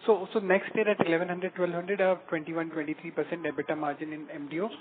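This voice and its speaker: A man with an Indian accent asking questions as an analyst, haltingly, repeating words. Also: on the high side, level tone, background noise. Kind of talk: question and answer on a conference call. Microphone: phone. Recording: narrow phone line with background chatter.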